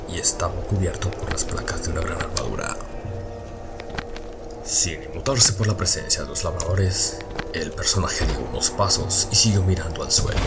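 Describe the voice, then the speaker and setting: Low-voiced man narrating an audio drama. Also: gravelly.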